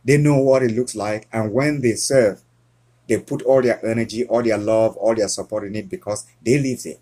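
Earnest Cameroon-born male guest, husky, halting delivery. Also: on the low side, steady tone, mellow. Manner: earnest, halting with frequent restarts. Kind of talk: casual interview conversation.

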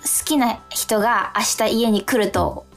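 A woman with a fairly high voice co-hosts a radio show with music behind her. She talks casually but politely and responds readily to her partner. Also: measured pace, gravelly. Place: remote recording from home.

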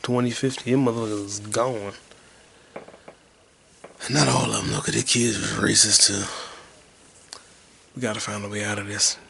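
Low-key man mumbling in a flat drone. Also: normal speed, creaky, long pauses, a little hiss or hum. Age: 20s. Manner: whispered rambling. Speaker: podcast host. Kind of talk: rambling solo podcast monologue.

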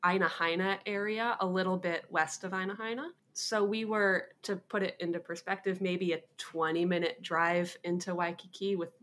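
Young woman guest, lower register, rambling and self-deprecating, casual interview talk. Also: fairly even intonation, moderate pace, few pauses, fairly bright tone, a little hiss or hum. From Hawaii. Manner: chatty, self-deprecating, hedges with "um" and "like".

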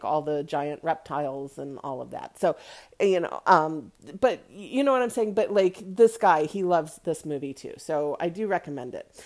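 A woman hosting a casual, opinionated review monologue, chatty and emphatic, often checking in with the listener. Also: medium pitch. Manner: chatty, emphatic, rhetorical check-ins like "right?" and "okay?". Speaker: podcast host and movie reviewer.

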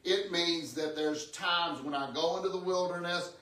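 Higher-voiced preacher in his sixties, echoey room. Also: normal speed, sharp tone. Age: 60s. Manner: repeats words, seeks congregation's agreement with amen and okay. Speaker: pastor preaching. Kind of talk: conversational sermon teaching. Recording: echoey room, distant microphone.

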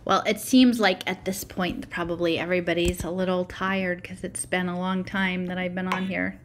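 A woman's voice: fairly low pitch, little pitch movement, moderate pace. A woman hosting in a casual, informal, chatty way. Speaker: host reading and sharing clips.

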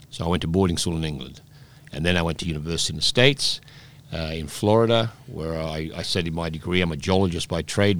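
A man in his sixties, raised in Peru, telling rambling stories with many restarts as a guest in a relaxed interview. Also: deep voice. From Scotland, raised in Peru. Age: 60s. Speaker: guest, oyster bar operator.

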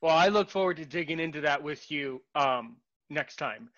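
Halting, bright-voiced podcast host man. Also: on the high side, highs cut off. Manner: halting, repeats words, self-described sarcastic. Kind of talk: casual interview chat.

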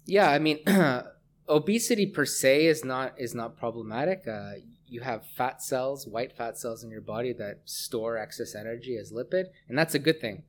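A middle-aged Canadian man speaking as a scientist guest in a conversational interview, pausing often as he thinks. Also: medium pitch. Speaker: interview guest, research scientist and lab head.

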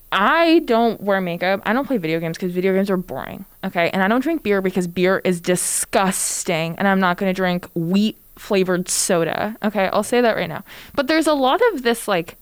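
Young woman, podcast co-host, blunt, sarcastic, smooth clear voice, dry close sound. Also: medium pitch, expressive, moderate pace, no room sound. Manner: blunt, sarcastic, heavy use of "like". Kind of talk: casual podcast chat.

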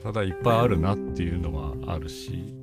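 A man's voice: deep voice, quick pace. A middle-aged man chatting casually and reflectively as a podcast guest. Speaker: guest, band saxophone and flute player. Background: guitar music.

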